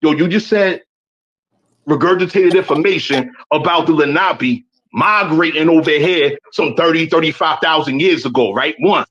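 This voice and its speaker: A man's voice: fairly high pitch, normal speed, a bit bright, slightly rough, highs cut off. A man calling in to a live stream, arguing brashly, talking over the other person and repeating himself. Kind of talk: heated call-in debate.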